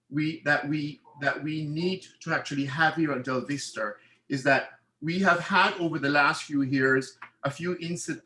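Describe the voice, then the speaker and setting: A man's voice: a little high, sharp tone. A man giving an earnest, formal candidate speech at a residents' meeting, often restarting his phrases. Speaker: board candidate. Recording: echoey room.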